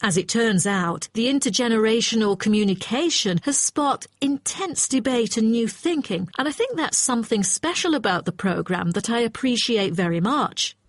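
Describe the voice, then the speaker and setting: A woman's voice: a little high, expressive, moderate pace, hardly any pauses, no echo, clean studio sound. A woman playing an earnest, appreciative nursing student in a scripted exam dialogue.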